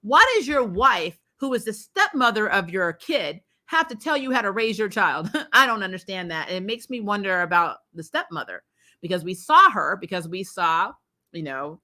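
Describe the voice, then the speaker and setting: A woman with a bright, sharp-edged voice at an ordinary pitch hosts a casual livestream. She reacts with animated opinions and stresses her points by repeating words. Her delivery is chatty and informal, aimed straight at her viewers. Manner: animated, opinionated, quick to laugh. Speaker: host of a TV show review livestream. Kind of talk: casual livestream chat, reacting to episodes and reading viewer comments.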